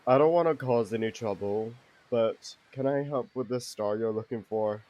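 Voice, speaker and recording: An actor playing a big, gruff arctic beast in an audio drama, blunt but good-hearted. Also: male voice, medium pitch, moderate pace, mellow, highs cut off, close to an everyday voice. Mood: friendly. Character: big gruff thawed arctic beast, friendly once calmed.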